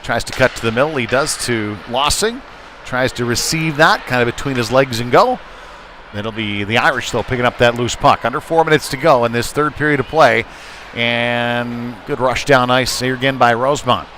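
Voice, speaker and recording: A man commentates a live hockey game with clear noise from the rink behind him. His delivery is conversational, as if thinking aloud while following the play. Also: mid-range voice, moderate pace, bright, forceful tone. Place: indoor ice rink. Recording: noisy live rink broadcast.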